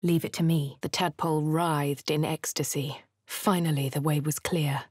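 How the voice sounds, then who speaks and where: Game hero, female, posh southern English; low-ish, crisp and dry, understated wit. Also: fairly even intonation, moderate pace, darkish tone, dry recording. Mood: measured. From Baldur's Gate. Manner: crisp, clipped narration with dry asides. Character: posh young female adventurer, wry and composed. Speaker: player character. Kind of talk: video game voice lines.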